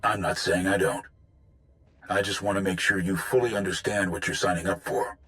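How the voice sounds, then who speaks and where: Deep, forceful commander. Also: male voice, fairly even intonation, moderate pace. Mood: concerned. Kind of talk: video game voice acting.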